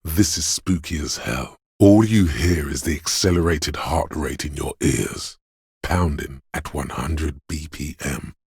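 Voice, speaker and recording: Very deep, gravelly, menacing inner voice. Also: male voice, a little mellow, dry recording, studio quality, exaggerated voice. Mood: ominous dread. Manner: ominous, slow, deliberate delivery. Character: menacing, paranoid inner voice of primal fear and aggression. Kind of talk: dramatic second-person game narration.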